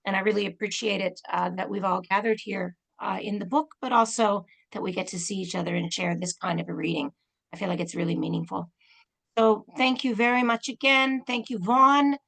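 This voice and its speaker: A woman's voice: medium pitch, brisk, vocal fry, fairly bright tone. A woman hosting an online reading over a video call, thanking the readers effusively with a few fillers.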